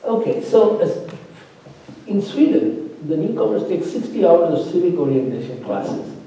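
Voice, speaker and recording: Man, faculty opponent, discursive academic talk full of fillers and restarts; dark, mellow tone; lively pitch; heard from far off in a very echoey hall. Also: a little slow. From immigrant living in Norway. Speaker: faculty opponent at a thesis defense. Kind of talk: spoken academic commentary. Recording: distant, roomy lecture-hall sound.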